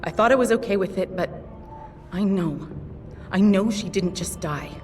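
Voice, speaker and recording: A woman's voice: medium pitch, normal speed, a little mellow, slight background noise, lightly acted. An actress playing a tough, guarded female pilot who swears often in a video game cutscene. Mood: upset. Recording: distant voice with long reverb over music.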